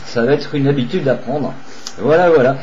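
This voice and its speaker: A man's voice: medium pitch, normal speed, darkish tone. A man operating a CB radio, chatting with another operator in a repetitive, hesitant way with radio procedure phrases. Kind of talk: radio contact chat. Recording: dull, slightly noisy CB radio recording.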